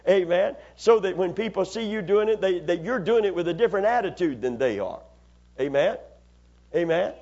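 A higher-voiced male pastor preaches in a church, calling out for amens and drawing the congregation into his message. The recording sounds dull and lo-fi, as though captured on older church equipment. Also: expressive, normal speed, a little mellow. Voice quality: raspy after Sunday preaching. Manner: call-and-response preaching, asks for amens. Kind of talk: sermon. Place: church sanctuary.

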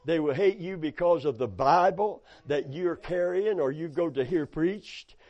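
A hoarse preacher, his voice pitched a little high for a man. He tells folksy stories from the pulpit and breaks in with amen and hallelujah. The recording sounds dull and lo-fi. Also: measured pace, a little mellow. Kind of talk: sermon.

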